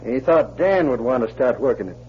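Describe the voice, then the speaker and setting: A man's voice: medium pitch, lively intonation, a little fast, a little mellow, lightly acted. A man as a sly crooked prospector, muffled radio. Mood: nervous, evasive. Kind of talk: 1940s radio acting. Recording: muffled old radio with hiss.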